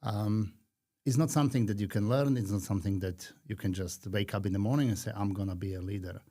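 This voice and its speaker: A man in his fifties from Slovakia with a Slovak accent, talking earnestly and reflectively as a podcast guest, with frequent pauses. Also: fairly low pitch, steady tone, mellow. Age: 50s. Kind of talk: conversational interview.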